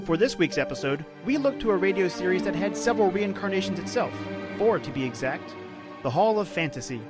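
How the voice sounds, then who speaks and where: A man's voice: high voice, animated, brisk. A man hosting a horror podcast with a scripted, theatrical announcer-style introduction. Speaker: podcast host. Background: music.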